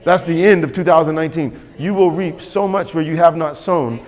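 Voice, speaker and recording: A man's voice: fairly high pitch, fairly quick, a little mellow. A man preaching a sermon as pastor, emphatic and repetitive, calling for responses from the congregation. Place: church sanctuary. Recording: long hall reverb.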